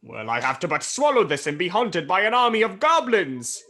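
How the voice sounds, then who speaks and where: Radio play miser, male actor: elderly, stubborn, nervy and theatrical, with a lively swooping pitch. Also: normal speed, full character voice. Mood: sceptical, grumbling. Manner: theatrical, sceptical, jittery. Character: stubborn, frightened old male miser confronting a ghost.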